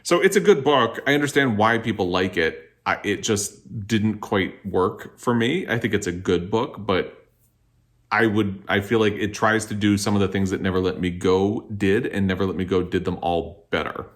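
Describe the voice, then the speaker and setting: Low-voiced man, casual book vlogger. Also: moderate pace. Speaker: book vlogger, host of the video. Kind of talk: casual book review vlog to camera.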